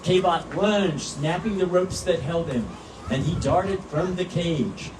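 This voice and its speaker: A man reading a children's story aloud at a live event in an expressive, earnest way. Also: fairly high pitch, slow. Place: outdoor public event at animal habitat. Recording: roomy, distant mic, noisy outdoor ambience.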